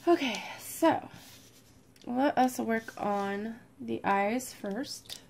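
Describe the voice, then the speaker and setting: Croaky, congested woman teaching a craft. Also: on the high side, drawn-out words, a little hiss or hum. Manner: relaxed, chatty, drops to near-whispers while counting stitches. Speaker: crafting tutorial host. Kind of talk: step-by-step craft tutorial. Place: indoors at a worktable, overhead camera on hands.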